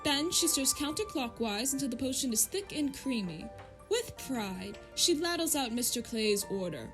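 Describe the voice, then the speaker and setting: A woman's voice: high-pitched, big pitch swings, normal speed, music in the background. A woman playing a prim, controlling fairytale narrator in a short film, fussy and polite, with an emphatic sing-song storyteller delivery. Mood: prim, cheerful.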